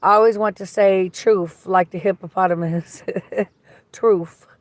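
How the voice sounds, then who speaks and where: A woman with a slightly raspy voice hosting a livestream, chatting casually and reading viewer comments in a blunt, gossipy way that is full of swearing. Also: mid-range voice, fairly even intonation, unhurried, slight background noise. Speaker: livestream host.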